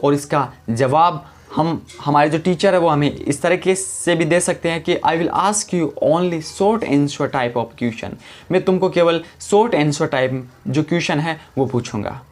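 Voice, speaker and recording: A man teaching a lesson, calmly explaining step by step and saying each example sentence slowly and deliberately. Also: fairly high pitch. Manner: calm step-by-step explaining, repeats "guys". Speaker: English teacher.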